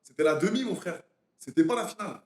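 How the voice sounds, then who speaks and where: A man's voice: sits high, animated, fast talker, echoey room, a little hiss or hum. A man hosting a livestream, chatting crudely and teasingly while talking straight to his viewers. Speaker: streamer host. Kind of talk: casual livestream banter.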